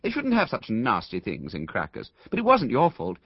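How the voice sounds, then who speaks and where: A man's voice: medium pitch, wildly swooping pitch, moderate pace, breathy edge, dry recording, muffled recording, voice pushed up, heavily acted. A man voicing a fussy, kindly, oblivious mother who soothes and gushes, in a story reading. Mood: fluttering. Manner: gushing, soothing.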